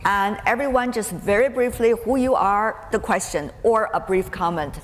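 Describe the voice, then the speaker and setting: A woman moderating a panel in a brisk, businesslike way as she opens the floor to questions. She is heard in a large, echoing hall, with a faint room hum behind her. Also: medium pitch. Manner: brisk, directs audience questions. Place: conference hall.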